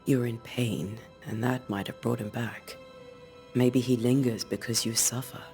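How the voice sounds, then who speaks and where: An actress playing a composed, resolute woman ghost hunter in a video game, speaking in a measured, grave and reassuring way. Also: very deep voice, fairly even intonation, dark tone. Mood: gentle, grave. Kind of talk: video game cutscene acting. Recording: music under the dialogue.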